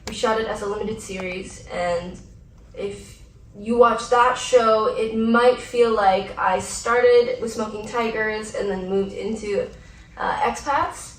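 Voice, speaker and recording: A woman's voice: on the high side, fairly even intonation, takes it slowly. A woman, an actress, answering questions at a post-screening Q&A, speaking in an articulate, reflective way with the odd light laugh. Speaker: actress, festival guest. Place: large theater auditorium. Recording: roomy theater sound from a distance, faint hum.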